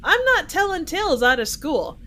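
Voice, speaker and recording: A woman as a high, animated gangster ex-con. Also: moderate pace, exaggerated voice. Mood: mocking. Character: cocky, foul-mouthed ex-convict gangster, loyal and taunting. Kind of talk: amateur audio drama acting.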